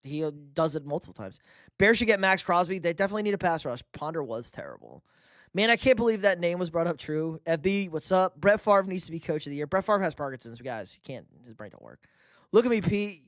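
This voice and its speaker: A man's voice: on the high side, lively intonation, fast, no echo. A young man hosting a live sports stream, reading chat questions and bantering loosely with casual profanity. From New York. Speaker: livestream host reading chat.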